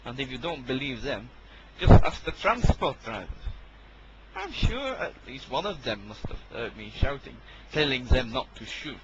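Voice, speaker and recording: A man's voice: mid-range voice, sing-song, normal speed, lo-fi sound, very noisy, close to an everyday voice. A man playing a defiant, scheming military officer in an amateur audio drama, with an insistent manner. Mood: defensive.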